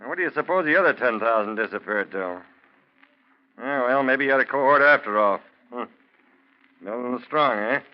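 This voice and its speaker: A man's voice: mid-range voice, animated, lightly acted. Guy doing a small town sheriff, mid voice, casual and blunt, dull old radio sound. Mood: musing. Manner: folksy, musing, brisk about grim business. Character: unhurried, matter-of-fact small-town desert sheriff. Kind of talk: 1940s radio drama acting. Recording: muffled 1940s radio broadcast.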